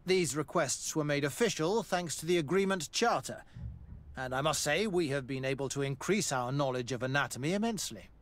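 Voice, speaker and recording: Game role: male military doctor, learned and courteous, matter-of-fact, slightly raised pitch. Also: expressive, a little fast, fairly bright tone. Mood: courteous, composed. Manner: formal, polite, precise. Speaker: army doctor. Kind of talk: video game dialogue acting.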